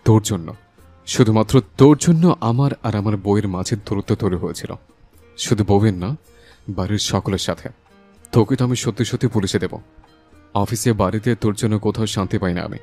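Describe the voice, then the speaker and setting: Casting: male actor as passionate, protective young husband for romantic audio drama; low voice, emphatic delivery, music underneath. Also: fairly quick, warm tone. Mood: furious, scolding. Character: hot-tempered young husband, fiercely protective, tender with his wife. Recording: voice over a music bed.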